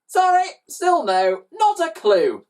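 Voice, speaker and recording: A woman's voice: extremely high pitch, wildly swooping pitch, slow pace, a little mellow, pitched above the performer's own voice, heavily acted. A woman voicing a brash, mocking, crooked wife from a children's storybook, barking and bellowing her words. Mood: dismissive.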